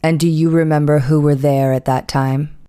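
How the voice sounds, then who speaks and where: A woman's voice: low-pitched, steady tone, normal speed, dark tone, smooth, natural voice. A woman playing a persistent male defense attorney, asking formal, pressing questions in a trial transcript reenactment. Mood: probing. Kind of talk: audio reenactment of a court transcript.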